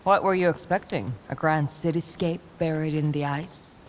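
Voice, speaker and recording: A woman acting a guarded, low-key young heroine. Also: steady tone, normal speed, slight background noise, close to an everyday voice. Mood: wry. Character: guarded young heroine with powers, dry and resolute. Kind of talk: video game cinematic acting.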